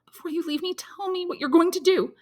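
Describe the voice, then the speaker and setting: A dutiful young heroine of a classic novel as a woman narrator acts her out, pitched high and full of emotion. She implores and pleads like someone torn between love and obedience. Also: brisk, darkish tone. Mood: pleading, alarmed. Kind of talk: dramatic audiobook character reading.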